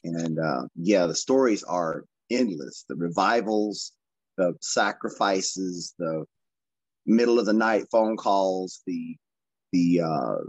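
A hoarse man in his fifties, a pastor sharing memories on a video call, speaking reflectively with pauses and fillers. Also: low-pitched, a little mellow, no echo. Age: 50s. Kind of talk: casual testimony. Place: indoor room with wood behind. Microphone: webcam on a video call. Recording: dry, dull lo-fi video-call audio.

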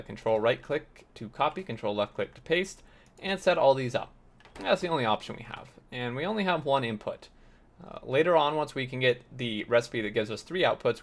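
A slightly hoarse man hosting a game tutorial, explaining step by step with lots of fillers and restarts. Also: mid-range voice, a bit bright. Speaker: let's play host. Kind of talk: tutorial gameplay commentary.

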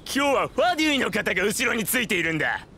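Sharp, high-pitched sneering thug. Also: male voice, big pitch swings, fast, slight background noise, exaggerated voice. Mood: menacing. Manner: rough, mocking, threatening. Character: sneering, hot-tempered adult male thug, bullying. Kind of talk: Japanese anime-style game dub acting.

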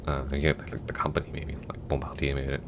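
A man's voice: monotone, fast talker, background noise. A young man playing a chatty, goofy, affectionate long-distance boyfriend in a roleplay audio, talking casually in a rambling, hesitant way. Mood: rambling, bashful. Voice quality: very deep, gravelly.

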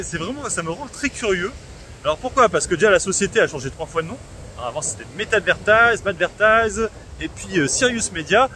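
A man's voice: sits high, bright, forceful tone, slight background noise. A young man chatting casually to a camera held at arm's length on a beach, full of filler words and asides. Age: young adult. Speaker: investing channel host. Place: sunny beach by a seawall, outdoors. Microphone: phone or camera held at arm's length.

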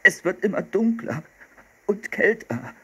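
Man doing an anxious, high-pitched young boy. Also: animated, brisk, husky. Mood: whining. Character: anxious, grumbling young boy, bookish and cautious.

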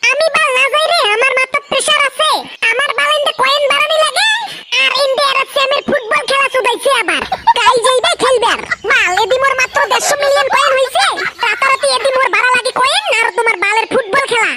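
A performer with a pitched-up voice filter plays a boastful, crude cartoon village lad. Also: fast talker, very noisy. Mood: irritated bragging. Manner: crude, bragging, swearing. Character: cartoon village lad, boastful, foul-mouthed coin-obsessed buddy. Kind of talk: comic cartoon banter.

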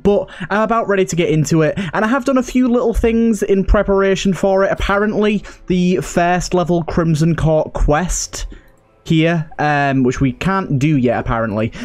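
A man's voice: medium pitch, a little mellow. A man giving casual let's play commentary as a gaming host, chatty and thinking aloud.

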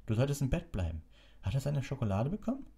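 A man's voice: medium pitch, sing-song, quick pace, dark tone, pitched above the performer's own voice, close to an everyday voice. A man voicing a fussy, patronising older male government minister in a storybook, condescending and placating. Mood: agitated concern.

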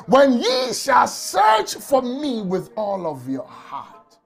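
Male pastor, high lively voice, slow gravelly delivery, faint music behind. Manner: impassioned, often shouting and crying out. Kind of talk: emotional sermon. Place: small office, indoors. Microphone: lapel mic.

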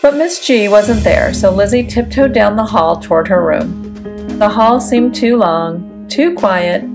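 A woman narrates a children's storybook expressively. Her mid-pitched voice has noticeable creak, and music plays clearly behind her. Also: lively intonation, a little slow. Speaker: storybook reader. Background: background music.

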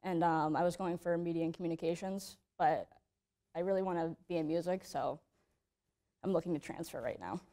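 A young woman student answers casually, leaning on "like" as she talks. Her voice is lowish and warm. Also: a little fast. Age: young adult. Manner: casual, lots of "like". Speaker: student interviewee. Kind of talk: documentary interview answers. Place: studio with plain backdrop.

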